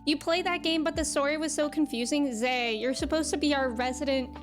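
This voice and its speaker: A woman's voice: sits high, fairly even intonation, brisk, over music. A woman hosting a livestream, chatting casually and informally as she greets her viewers, with a giggly manner. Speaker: live game streamer hosting.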